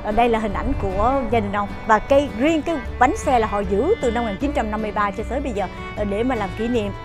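A woman's voice: fairly high pitch, fast talker, music in the background. Chatty woman host on a walking tour. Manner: chatty, many "ờ" fillers, addresses viewers as "quý vị". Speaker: travel show host. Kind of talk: walking tour narration to camera. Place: outdoors at a farm market and inside its shop.

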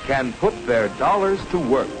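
An actor with an American accent playing a polished, reassuring stockbroker in an educational cartoon, explaining in a measured mid-century film narrator style. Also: male voice, medium pitch, lively intonation, close to an everyday voice. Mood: reassuring, instructive. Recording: old film soundtrack with music behind.

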